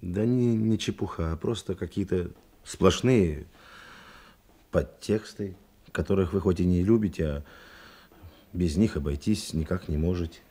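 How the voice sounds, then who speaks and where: An actor playing a reserved, kind police detective in a Russian radio play, speaking in a measured, slightly halting way. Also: male voice, low voice, warm tone, husky. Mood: earnest, restrained.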